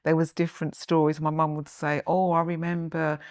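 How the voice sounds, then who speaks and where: A woman's voice: sits low, level tone, brisk, no room sound, studio quality. A woman hosting an interview, reading an introduction and asking conversational questions with small restarts. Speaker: podcast host.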